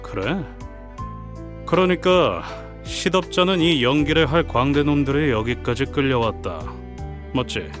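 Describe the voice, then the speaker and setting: Actor as a gruff, scornful tough guy. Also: mid-range voice, animated, unhurried, faint music behind, natural voice. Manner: curt, sneering. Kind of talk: Korean game voice acting.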